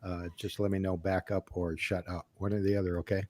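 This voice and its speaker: A man's voice: low-pitched, little pitch movement, brisk, a little mellow. A man who is an auditioning actor, chatting casually on an online call. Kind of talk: casual chat. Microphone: headset and new mic on online call.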